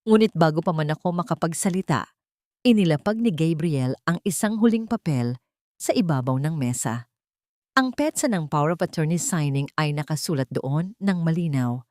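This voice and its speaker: A woman with a Filipino accent narrating a scripted dramatic first-person story in a measured, emotive way. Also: low-pitched, lively intonation, darkish tone, no echo, studio quality.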